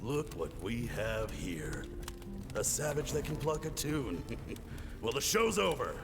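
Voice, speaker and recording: A man's voice: mid-range voice, very animated, a little slow, vocal fry, long reverb tail, over music. A man voicing a brutish, bullying villain in a video game, mocking and threatening in drawling sneers and barks. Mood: mocking, menacing.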